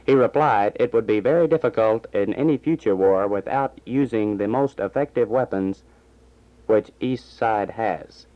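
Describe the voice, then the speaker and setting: Muffled vintage radio newsman. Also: fairly low pitch. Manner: formal, measured announcer delivery. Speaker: radio newsreader and station announcer. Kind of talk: 1960s scripted radio news reading. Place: radio station studio. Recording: dull lo-fi vintage AM radio recording.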